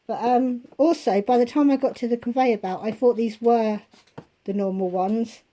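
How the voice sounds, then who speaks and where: A woman vlogger at home, chatting casually through a shopping haul and listing prices, her voice going hoarse. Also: a little high, steady tone, mellow. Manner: chatty, unhurried, itemizing prices.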